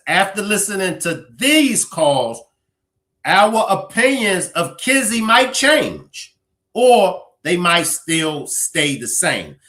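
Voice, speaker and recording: A man's voice: high voice, bright, forceful tone. A man hosting live commentary, addressing his audience emphatically as he reacts. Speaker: host reacting to clips.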